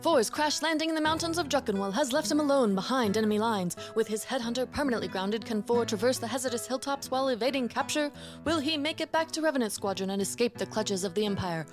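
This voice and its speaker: Woman announcer, slightly high voice, dramatic scripted intro delivery over prominent music. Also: animated, fast talker. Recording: music behind the voice.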